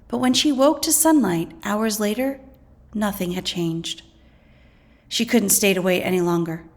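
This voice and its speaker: A woman reading educational material aloud as a teacher, in an instructional way that explains and poses questions. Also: medium pitch. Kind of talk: scripted educational read-aloud narration.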